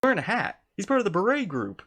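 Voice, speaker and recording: A woman's voice: mid-range voice, sing-song, fast talker, a bit bright, husky. A woman streamer casually reacting to a video game, chattily talking back to the characters.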